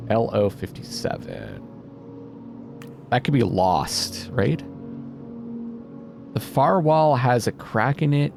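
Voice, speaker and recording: A man giving let's-play commentary, reading game text aloud dramatically and thinking out loud as he plays. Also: medium pitch, vocal fry, noisy background. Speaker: video game streamer playing a narrative game. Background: music behind the voice.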